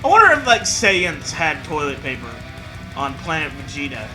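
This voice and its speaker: A man's voice: on the high side, big pitch swings, normal speed, poor audio. A man riffing casually as a comedy co-host, sarcastic and full of swearing. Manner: sarcastic, profane. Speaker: co-host commentator. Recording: music behind the voice.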